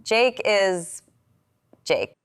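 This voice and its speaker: An actress playing a friendly, gossipy, affectionate shopkeeper in naturalistic audio drama dialogue, with a playful, drawling manner. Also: female voice, medium pitch, very slow, clear, resonant tone, no room sound. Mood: coy gossiping. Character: friendly, teasing small-town shopkeeper woman, gossipy and affectionate.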